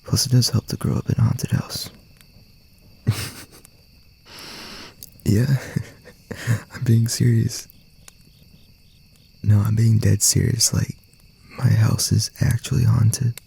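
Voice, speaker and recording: A man playing a gentle, teasing young boyfriend in an intimate ASMR roleplay, murmuring softly and reassuringly in a breathy, gravelly voice. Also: little pitch movement, full character voice. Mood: playfully earnest. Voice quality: soft, breathy, husky, gravelly low murmur. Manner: unhurried, rambling, reassuring, with pauses.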